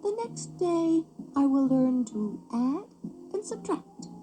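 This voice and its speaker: Woman voicing an eager high-pitched little puppet boy. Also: slow, slight background noise, poor audio. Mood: eager, hopeful. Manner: excited, wide-eyed, childlike. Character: eager, naive young wooden puppet boy.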